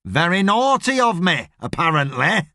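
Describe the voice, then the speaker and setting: A man's voice: high voice, sing-song, normal speed, bright, forceful tone, dry recording, studio quality, pitched above the performer's own voice, heavily acted. A man voicing an irritable, shady middle-aged boss in an audiobook, blunt and sweary. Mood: sarcastic. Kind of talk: audiobook character voice.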